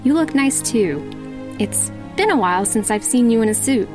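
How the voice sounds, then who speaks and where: Woman acting high, expressive young heiress. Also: moderate pace, close to an everyday voice. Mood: shy, fond. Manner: formal, polite. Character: polite, reserved young heiress, shyly fond. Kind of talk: animated fan series voice acting. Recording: background music under the voice.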